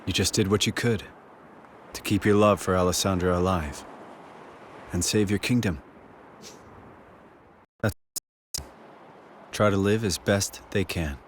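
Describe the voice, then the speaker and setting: A man's voice: low-pitched, fairly even intonation, a little slow, pauses often, a little hiss or hum. An actor playing a wise, consoling, world-weary man in a fantasy game, plain-spoken and reflective. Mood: sombre consolation.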